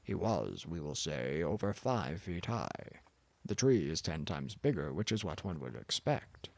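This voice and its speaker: A man's voice: low-pitched, big pitch swings, measured pace, creaky, no room sound, voice pushed down, exaggerated voice. A man reading an audiobook, voicing a pompous, contemptuous older male professor in a blustering, condescending manner. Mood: lecturing. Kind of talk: audiobook character voice.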